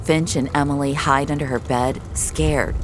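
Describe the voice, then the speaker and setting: A woman's voice: sits low, fairly even intonation, normal speed, background noise. A woman narrating scripted audio fiction in a dramatic storytelling style, with music behind her.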